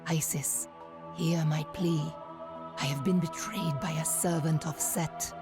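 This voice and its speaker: An actress playing a solemn, determined priestess leader in a video game cutscene. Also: female voice, deep voice, fairly even intonation, slow pace. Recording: prominent music under the voice.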